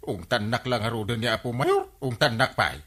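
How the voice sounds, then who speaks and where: An actor playing a flustered, bumbling village captain in an Ilocano radio comedy drama, exclaiming and stammering with an Ilocano accent. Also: male voice, medium pitch, big pitch swings, moderate pace, fairly bright tone. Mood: worried. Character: blustering, excitable village captain, a comic bumbler.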